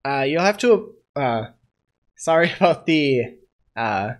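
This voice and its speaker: A man's voice: fairly high pitch, sing-song, takes it slowly. A young man getting over a cold, walking through a coding tutorial as he thinks aloud, with lots of "uh" and unsure asides. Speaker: programming tutorial host. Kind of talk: casual screen-share coding tutorial.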